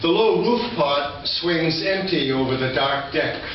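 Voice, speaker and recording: A man's voice: a little high, animated, unhurried. An older man speaking as a poet at a live reading of his work. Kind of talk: poetry reading with informal spoken introduction. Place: indoor reading venue, recorded from a distance. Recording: echoey room, distant mic, dull, noisy.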